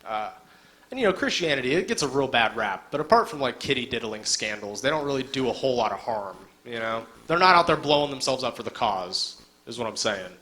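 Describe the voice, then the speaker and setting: Bright-voiced, sardonic male stand-up comic. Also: mid-range voice, lively intonation, brisk, poor audio. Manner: casual, sardonic, lots of filler uh. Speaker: stand-up comedian. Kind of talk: stand-up comedy set. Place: live stage venue, indoors.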